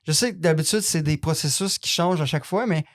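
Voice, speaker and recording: A man's voice: on the high side, quick pace. A man hosting a podcast, asking friendly, hesitant questions. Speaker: podcast host. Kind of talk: casual interview chat.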